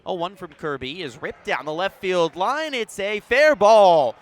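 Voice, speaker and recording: Give the voice of a male radio baseball announcer with a fairly high pitch. He calls play-by-play live from an outdoor ballpark, keeping a measured narration and punching up the key calls. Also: sing-song.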